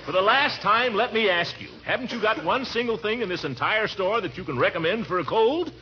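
An actor playing a blustering, easily exasperated but doting middle-aged uncle in a 1940s radio comedy, pompous and sputtering. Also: male voice, high-pitched, lively intonation, a little fast. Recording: muffled vintage radio recording with hiss.

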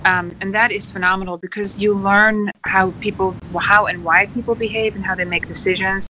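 Bright, earnest woman from the Netherlands. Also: mid-range voice, steady tone, normal speed, slight background noise, low-quality recording. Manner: earnest, many ums. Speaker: guest. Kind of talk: podcast interview.

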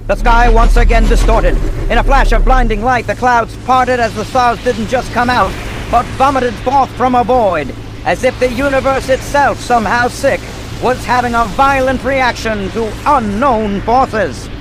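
An old-time radio narrator, high in pitch and grandly dramatic. He tells the story in scripted style over clearly audible background noise. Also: a little slow. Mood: ominous.

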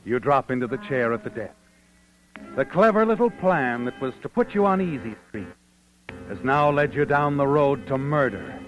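An actor playing a knowing, insinuating narrator who taunts like a conscience, in dramatic 1940s radio suspense style. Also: male voice, medium pitch, sing-song, moderate pace, lightly acted. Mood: knowing, ominous. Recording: dull old radio broadcast with music.

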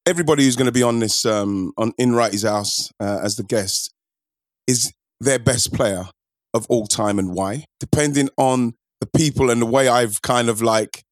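Male podcast host, casual and warm-hearted, mid pitch, dry studio sound. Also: moderate pace, no echo. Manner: laid-back, affectionate, lots of "yes" and "nice one". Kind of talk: casual football chat.

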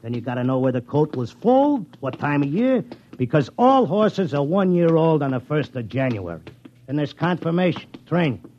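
An actor playing a brash, cynical horse-racing gambler in a classic radio drama, talking in streetwise, wisecracking slang. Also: male voice, fairly high pitch, very animated, normal speed, slight background noise. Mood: absorbed rambling. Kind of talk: classic radio drama acting and first-person narration. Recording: dull old radio recording with music.

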